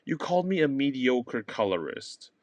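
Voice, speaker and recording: A man's voice: mid-range voice, big pitch swings, normal speed, no echo, voice pushed up, natural voice. A man playing a plain-spoken artist in a stage comedy who is fed up with his friend and protests in exasperation. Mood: weary.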